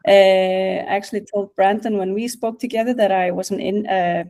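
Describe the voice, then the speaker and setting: A middle-aged woman from Iceland with an Icelandic accent, giving an informal presentation on a video call, hesitant and pausing often. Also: mid-range voice, fairly even intonation, warm tone. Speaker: guest speaker, national music office director.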